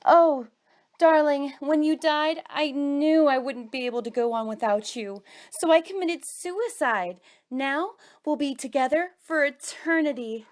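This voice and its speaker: A woman's voice: high-pitched, a little slow. A woman playing a besotted young wife in a horror comic drama, speaking in a theatrical, melodramatic way. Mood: rapturous. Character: besotted young wife, cold at first, then gushing with adoration.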